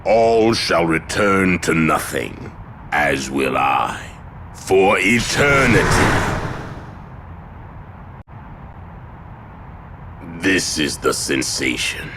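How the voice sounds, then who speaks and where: An actor playing a sinister, pompous villain who worships the void in a video game, with a deep gravelly voice and grandiose delivery. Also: male voice, very animated, slow pace, sharp tone, slight background noise, heavily acted. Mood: gloating, ominous. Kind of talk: theatrical video game voice acting.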